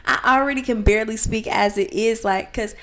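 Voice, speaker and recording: A woman's voice: a little high, brisk, a bit bright. A young woman co-hosting a podcast in casual chat, rambling and self-conscious with a slight lisp, laughing often. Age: young adult. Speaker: podcast co-host.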